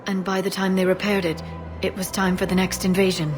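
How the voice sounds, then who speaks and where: An actress playing an earnest, composed young alien woman in a video game, measured and serious with gentle delivery. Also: mid-range voice, flat delivery, over music, lightly acted. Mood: relieved, gentle. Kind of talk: scripted game dialogue.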